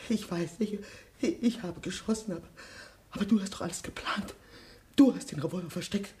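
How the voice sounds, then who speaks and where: An actress playing a frightened, guilt-ridden woman in a radio crime drama, halting and repeating herself. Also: mid-range voice, mellow, a little hiss or hum, close to an everyday voice. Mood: shaken.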